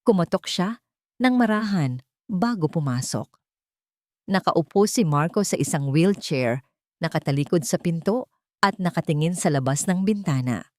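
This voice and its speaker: A woman's voice: lowish voice, expressive, moderate pace, pauses often, a little mellow, dry recording, clean studio sound. A woman reading a dramatic scripted romance story as narrator.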